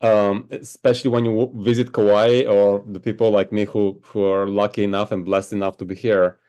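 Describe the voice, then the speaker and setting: A man who teaches meditation, answering interview questions in a slow, reflective way and often checking in with "right?". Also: on the low side, flat delivery, dark tone, clean tone. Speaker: spiritual teacher. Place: home on a Hawaiian island.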